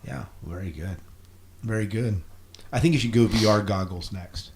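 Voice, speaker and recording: A man's voice: on the low side, moderate pace, darkish tone, a little hiss or hum. A man hosting a casual podcast interview, agreeable and often repeating short affirmations. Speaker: podcast host.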